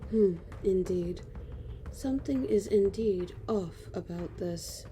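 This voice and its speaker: A calm ancient queen, acted by a woman, with a very dark, mellow voice. She speaks slowly and regally, with faint noise on the recording. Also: medium pitch, lightly acted. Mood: grave, knowing. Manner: measured, regal, pondering. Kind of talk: fantasy audio roleplay acting.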